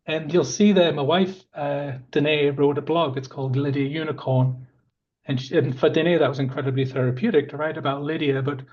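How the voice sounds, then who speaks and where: A croaky-voiced man in his fifties gives a webinar presentation on a video call. He speaks a little higher than most men, earnest and conversational, with plenty of "uh"s. The audio sounds dull and lo-fi. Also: a little mellow. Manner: conversational and earnest, with many fillers. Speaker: park planning manager presenting a webinar.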